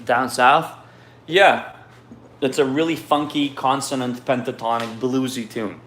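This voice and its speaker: A man's voice: mid-range voice, fairly even intonation, unhurried, a bit bright, a little hiss or hum. A man from Ukraine, raised in Israel, reads viewer questions aloud on a live stream and answers them in a loose, candid way.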